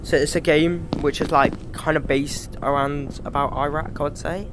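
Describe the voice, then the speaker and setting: A man with a British accent giving rambling, casual commentary over his gameplay, swearing freely and filling pauses with "like" and "um". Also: fairly high pitch, steady tone, noisy background. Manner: rambling, frequent swearing and fillers. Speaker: gaming YouTuber.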